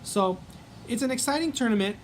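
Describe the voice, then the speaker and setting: A man's voice: very high voice, animated, a little fast, a little hiss or hum. A man with a Canadian accent hosting a casual sports vlog, chatting in a rambling way with many ums and restarts.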